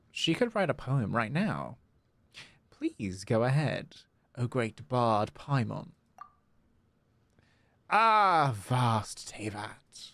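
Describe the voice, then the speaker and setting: A man livestreaming a game, excitable, casually commenting and reading dialogue aloud, with exclamations. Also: medium pitch, dry recording. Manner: excitable, reacts with gasps and drawn-out exclamations. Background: game music.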